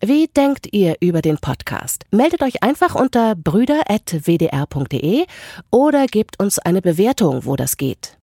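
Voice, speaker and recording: A woman's voice: mid-range voice, very animated, moderate pace, mellow, husky, no echo, studio quality. A woman announcer reading a scripted podcast outro.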